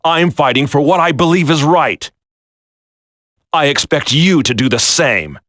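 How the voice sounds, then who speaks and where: An actor playing a grave military commander turned traitor in a video game, solemn, deliberate and resigned to fate. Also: male voice, fairly high pitch, lively intonation, fairly bright tone, dry recording, studio quality. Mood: stern, challenging. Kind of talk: dramatic scripted video game acting.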